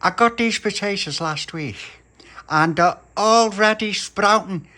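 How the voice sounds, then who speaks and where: A man's voice: high voice, measured pace, pushed and bright, pitched above the performer's own voice. A man with a Liverpool accent voicing a fiery working-class mother who rants and sighs in indignation. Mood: indignant. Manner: indignant ranting, sighing.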